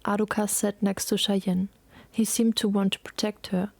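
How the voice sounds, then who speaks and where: A woman narrating a scripted dramatic story in a measured, solemn way. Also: medium pitch, flat delivery, gravelly, no echo.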